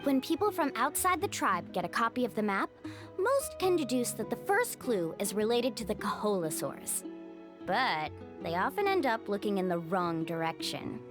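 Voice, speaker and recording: A woman's voice: mid-range voice, big pitch swings, normal speed, over music, natural voice. A woman voicing a cheerful, confident young female guide in a video game, upbeat and encouraging. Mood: explaining. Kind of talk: animated video game dialogue.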